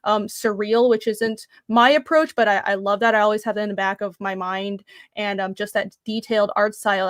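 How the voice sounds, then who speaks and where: A woman's voice: medium pitch, no echo, studio quality. A young woman from Taiwan talking about her comics in a casual interview, earnest and thoughtful with many fillers. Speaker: comic creator guest.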